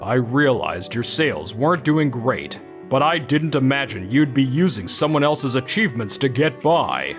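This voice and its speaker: A man's voice: medium pitch, sing-song, moderate pace, hardly any pauses, close to an everyday voice. A man plays a company superior from a manga dub. He is stern and reproving, like someone calling an employee to account. Faint music plays behind him. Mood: stern, disappointed.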